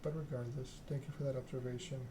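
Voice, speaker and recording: A man reads a narrative game aloud as ASMR, hushed and measured. His pitch sits in the middle and moves very little. There is clear noise behind him. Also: flat delivery. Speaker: video game player reading the story aloud. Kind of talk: ASMR game read-along, narration with dialogue.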